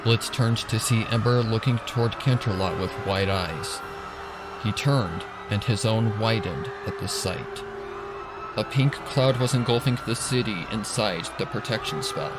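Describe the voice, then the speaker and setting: A man's voice: lowish voice, a little slow. A man reading scripted fan fiction as a dramatic narrator. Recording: loud music and effects behind. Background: loud music.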